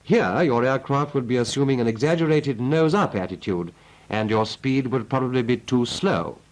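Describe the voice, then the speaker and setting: Lively 1940s British instructional narrator, male. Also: medium pitch, moderate pace, rough recording. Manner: clipped, methodical, instructive. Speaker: flight instructor narrating a military training film. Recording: 1940s film soundtrack.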